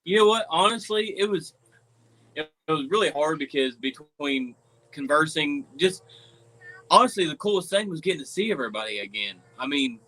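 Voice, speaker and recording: Male co-host, slightly hoarse from cheering, easygoing, bright forceful tone. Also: on the high side, lively intonation, moderate pace, poor audio. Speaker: podcast co-host. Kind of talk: casual sports chat.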